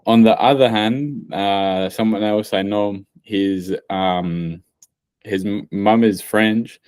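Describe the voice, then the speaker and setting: A man hosting a podcast who talks in a measured way, building his points through examples with pauses and restarts. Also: fairly low pitch, fairly even intonation, a little mellow, smooth, no room sound. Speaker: podcast host. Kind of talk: casual podcast chat.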